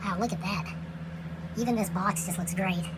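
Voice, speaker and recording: A woman giving casual unboxing commentary as a toy reviewer, relaxed and chatty, with plenty of fillers and trailing phrases, recorded indoors at night. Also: medium pitch, moderate pace. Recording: home recording with steady background noise.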